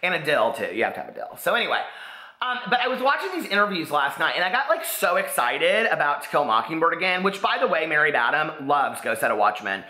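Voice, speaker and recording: A man in his forties with an American accent, chatting casually to camera in an excitable, rambling way with lots of "like". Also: on the high side, fast. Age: 40s. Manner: excitable, rambling, peppers talk with "like". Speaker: book vlogger. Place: living room, indoors. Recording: echoey living room.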